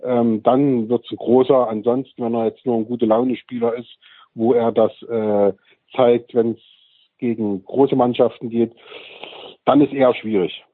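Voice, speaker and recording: A man with a raspy, somewhat husky voice gushes about players in a loose, rambling way. His voice comes through a thin, phone-like line with no room sound at all. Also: medium pitch, fairly even intonation, a little slow, no echo. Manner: enthusiastic, rambling praise with fillers. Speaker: sports panel guest. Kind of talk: casual sports talk.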